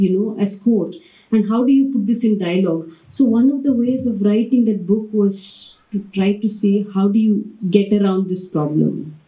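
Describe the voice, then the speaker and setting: Woman on a panel, mellow dark voice, reflective, lots of "you know". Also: medium pitch, low-quality recording. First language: Tamil. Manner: reflective, frequent "you know" fillers, self-correcting. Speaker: panelist, writer and translator. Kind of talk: panel discussion, thoughtful speech. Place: large thatched-roof hall at a panel table.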